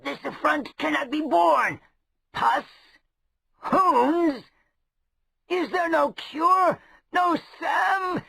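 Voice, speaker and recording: A man voicing a pop-eyed, cowardly male rogue who croaks and yelps in frantic, shrill bursts. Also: very slow, long pauses, sharp tone, no echo, exaggerated voice. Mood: appalled.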